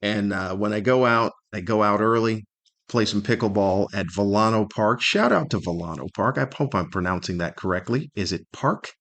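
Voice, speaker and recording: A man's voice: lowish voice, expressive, moderate pace, a bit bright. A middle-aged man hosting a casual solo podcast, telling stories in a rambling, wry and self-deprecating way. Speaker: podcast host.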